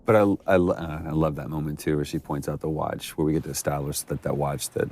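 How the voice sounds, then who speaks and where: Very deep, gravelly man chatting casually. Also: flat delivery, fast talker, a little mellow. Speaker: commentator who worked on the game. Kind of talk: casual commentary chat.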